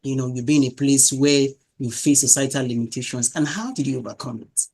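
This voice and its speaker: A woman's voice: very deep voice, a little fast, darkish tone. A woman hosting a podcast, putting an interview question to a guest. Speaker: podcast host.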